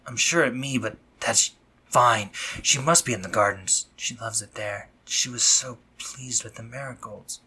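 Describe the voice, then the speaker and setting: A man playing an anxious, awkwardly tender spider-monster medic in a scripted romantic audio roleplay, speaking formally and haltingly with a breathy, slightly husky voice. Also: mid-range voice, bright, forceful tone, a little hiss or hum. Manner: formal, stammering, halting when flustered.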